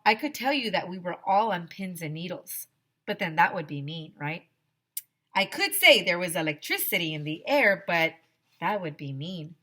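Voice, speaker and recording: Animated woman reading to children, unhurried pace. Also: on the low side. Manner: dramatic, pausing, addresses young listeners directly. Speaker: elementary teacher reading aloud to children. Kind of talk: expressive children's book read-aloud.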